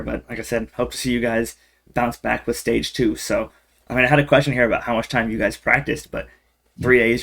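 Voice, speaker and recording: A man hosting an esports interview, asking questions in a casual, upbeat way. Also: medium pitch.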